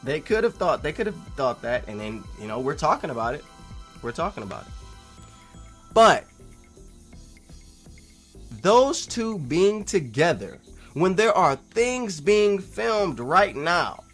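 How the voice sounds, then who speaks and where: A man hosting a casual, opinionated video reply, speaking emphatically and repeating phrases for stress, moving between loud insistence and quieter asides. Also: a little high, very animated, slow, pauses often, faint music behind. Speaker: video host replying to a viewer comment. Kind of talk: casual opinionated commentary to camera.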